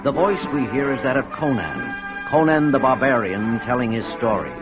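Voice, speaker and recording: A male announcer introducing a radio drama in a measured, declaiming way. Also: medium pitch, lively intonation. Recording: dull old radio audio, music behind.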